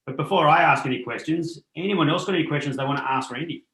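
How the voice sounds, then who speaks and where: Fast-talking male host in a roomy space. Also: on the high side, creaky, fairly bright tone. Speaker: host moderating the session. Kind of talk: informal online event hosting. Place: room, on online video call. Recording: roomy, echoing online call.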